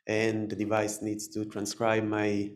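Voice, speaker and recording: A man with an Israeli accent giving a live technical talk, halting and full of fillers and restarts. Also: on the low side, completely flat delivery. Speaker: tech presenter. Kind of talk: live conference talk with demo.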